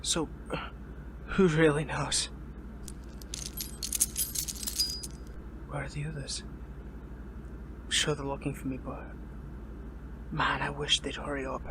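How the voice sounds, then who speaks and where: A man playing a wounded young captive hero in an audio roleplay, wry and defiant, speaking haltingly with a pained, weary strain. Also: a little high, little pitch movement, noisy background, close to an everyday voice. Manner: halting, sardonic, stammering. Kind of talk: dramatic audio roleplay, one-sided dialogue.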